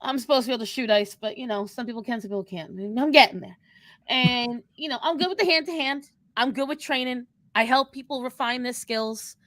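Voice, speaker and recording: A woman's voice: fairly high pitch, a little fast, fairly bright tone, no room sound, lightly acted. A woman voicing a cocky young fire-mage from the sixties, talking in sassy, blustering slang on an improvised livestream.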